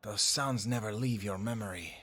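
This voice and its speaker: A man playing a serious, world-weary supernatural in an audio roleplay, measured and wary. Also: fairly low pitch, sharp tone, breathy edge. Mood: grave, uneasy. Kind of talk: audio roleplay drama acting.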